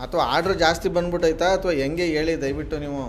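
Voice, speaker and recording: A man's voice: a little high, fairly even intonation, fast talker. A man doing a prank phone call in casual, colloquial talk, insistent and pleading. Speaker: radio host making prank calls.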